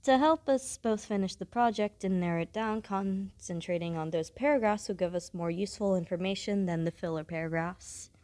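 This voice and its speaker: A woman playing a blunt, aloof, studious student in a scripted audio roleplay, speaking in a deadpan way with formal wording. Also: mid-range voice, moderate pace, hardly any pauses, natural voice. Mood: focused, matter-of-fact. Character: blunt, aloof, studious female student, coolly matter-of-fact.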